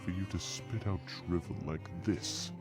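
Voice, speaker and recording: A man's voice: low voice, steady tone, a little slow, music in the background. A man playing a haughty, scheming dragon suitor in an audio drama, formal and condescending as he taunts. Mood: smug, menacing.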